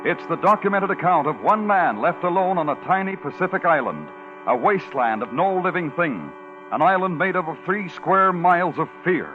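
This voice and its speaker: A male announcer introduces a vintage radio drama with theatrical weight. His voice is a little high and measured. The sound is dull old broadcast, with loud music underneath. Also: lively intonation.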